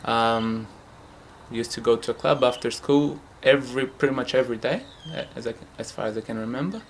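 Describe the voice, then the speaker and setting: A young man from Brazil with a Brazilian Portuguese accent, answering interview questions at home in a relaxed, hesitant way with many fillers. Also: medium pitch, brisk, slight background noise. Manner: relaxed, hesitant, frequent fillers and light laughs. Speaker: futsal player. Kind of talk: casual interview.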